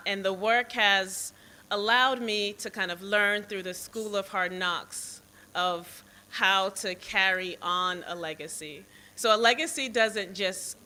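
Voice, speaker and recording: An American woman in her forties gives an unscripted public address. Her voice is very bright and smooth, and she takes her time, sometimes pausing to rephrase. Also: medium pitch. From Boston, United States, born in Jamaica. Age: 40s. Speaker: foundation president, guest speaker. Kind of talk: public speech, partly off the cuff.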